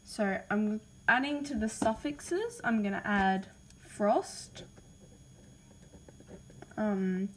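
A woman's voice: mid-range voice, extremely slow, pauses often, a little hiss or hum. A woman with an Australian accent, casually reading out name ideas in a voice message, hesitant and apologetic with lots of ums. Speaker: podcaster listing name ideas.

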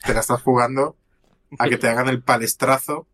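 A man from Galicia co-hosting a podcast, speaking in a measured way as he introduces sections and steers the talk. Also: medium pitch, fairly even intonation, a bit bright. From Galicia, Spain. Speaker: podcast co-host. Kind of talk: casual podcast chat.

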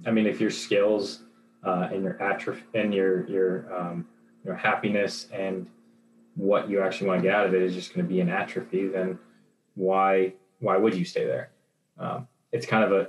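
A man's voice: low-pitched, steady tone, moderate pace, a little hiss or hum. A man in his twenties talking in a rambling casual discussion, with many fillers and restarts. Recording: roomy echo.

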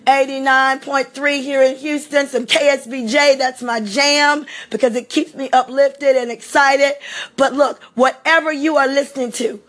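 A high-pitched woman with a slightly hoarse voice. She delivers a fervent motivational pep talk with repetition and bursts of shouting. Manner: energetic, preachy repetition, occasional shouting. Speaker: motivational speaker.

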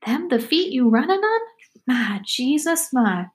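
A woman's voice: high-pitched, big pitch swings, a little slow, voice pushed up. A woman voicing a ragged, talkative, hungry runaway girl with blunt rustic speech in a story reading. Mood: blunt curiosity.

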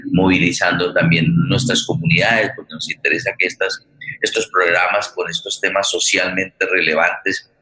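A man's voice: deep voice, sharp tone, rough recording. A man with a Colombian accent hosting an unscripted live online show, talking at length and often repeating words as he feels for the next one. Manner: wordy, halting, repeats words. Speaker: host.

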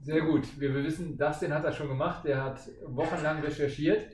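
A man's voice: on the high side, steady tone, a little fast, darkish tone, far from the mic. A man hosting a casual podcast interview and putting questions to his guest. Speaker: podcast host. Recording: echoey, off-mic, faint noise.

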